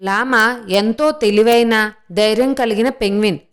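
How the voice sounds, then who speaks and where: A woman's voice: a little high, fairly even intonation, moderate pace, clear, resonant tone. A woman reading scripted narration for a children's story.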